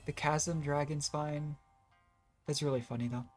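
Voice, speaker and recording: Low-pitched woman chatting over a game. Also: steady tone, a little slow, a little mellow. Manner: chatty, hedging, thinks aloud. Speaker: game streamer. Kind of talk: casual live game commentary. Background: faint game music.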